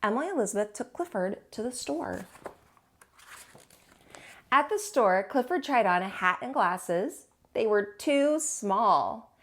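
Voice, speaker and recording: A woman hosting storytime, reading aloud to young children with an expressive, teacherly delivery. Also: fairly high pitch, measured pace.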